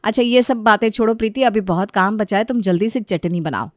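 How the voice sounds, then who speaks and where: A patient, dutiful elder sister-in-law, played by a woman in a domestic audio story. Her voice is mid-pitched and closely recorded, gently explaining and reassuring like a traditional homemaker. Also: fairly quick, no room sound, studio quality, close to an everyday voice. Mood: patient, instructing. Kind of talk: acted Hindi family story dialogue.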